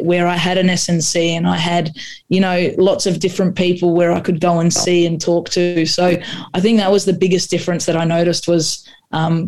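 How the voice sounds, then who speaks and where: A young adult woman with an Australian accent, a Croatian native speaker, answering interview questions with many restarts and fillers. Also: lowish voice. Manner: flat delivery, frequent restarts and fillers. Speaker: guest, AFLW footballer.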